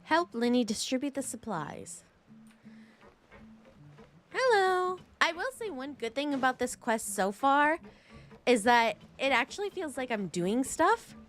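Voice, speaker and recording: Female game streamer, highish voice, very lively intonation, playful rambling live commentary. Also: a little slow, long pauses, soft background music. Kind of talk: casual chatty live gameplay commentary.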